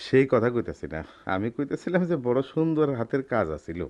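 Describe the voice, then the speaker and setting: An actor playing an honest, humble older goldsmith in a radio drama, plain-spoken and deferential, with a rural Bangladeshi accent. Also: male voice, medium pitch, sing-song, fairly quick, darkish tone, close to an everyday voice. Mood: cautious. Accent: Bangladeshi rural dialect. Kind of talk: Bengali radio drama acting.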